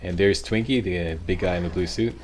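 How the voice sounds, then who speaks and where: Low-voiced Canadian man, game walkthrough commentary. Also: a little fast, a little mellow, slight background noise. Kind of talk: informal instructional gameplay commentary. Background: game sound.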